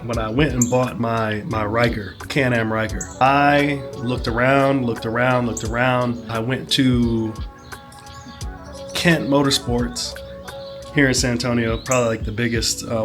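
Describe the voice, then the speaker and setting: Dude, kinda hoarse from being sick, rambling story w lots of "you know", music playing under him. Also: male voice, medium pitch. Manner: rambling, full of fillers like "whatnot" and "you know". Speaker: motorcycle vlogger. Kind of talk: casual storytelling rant.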